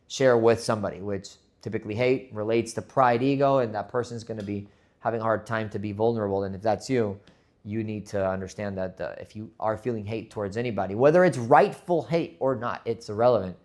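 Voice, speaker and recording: A man's voice: on the low side, a little mellow. A man from Spain, hosting a motivational podcast in an earnest monologue that often repeats words as he restarts. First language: Spanish. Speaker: podcast host.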